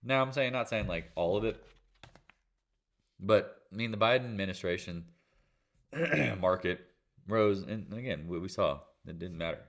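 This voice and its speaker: Very deep, congested American man. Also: animated, normal speed, long pauses, fairly bright tone. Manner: clipped, fragmentary phrases, colloquial slang. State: congested with a head cold, clearing throat. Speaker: channel host, trader and real estate agent. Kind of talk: market recap commentary, casual.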